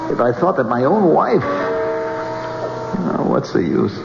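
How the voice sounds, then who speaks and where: An actor in a 1970s radio drama playing a bitter, despairing wounded man who mutters in a halting, anguished way. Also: mid-range voice, huge pitch swings, gravelly, exaggerated voice. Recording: echoey reverberant muffled old radio, music.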